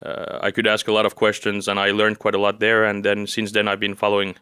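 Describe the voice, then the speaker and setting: A young man from Finland, raised in France, talks through his background in an unhurried, measured way. His voice sits low and stays fairly flat, with a bright, clean tone. The recording is dry and close, with no room sound. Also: smooth, dry recording. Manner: calm, measured, with frequent fillers. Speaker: guest, OSINT analyst. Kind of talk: casual interview conversation.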